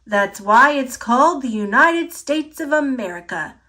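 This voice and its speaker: A woman's voice: sits high, expressive, unhurried, sharp tone, heavily acted. A woman voicing a tall, earnest schoolboy playing Abraham Lincoln in a solemn, declamatory storybook reading. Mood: solemn, firm.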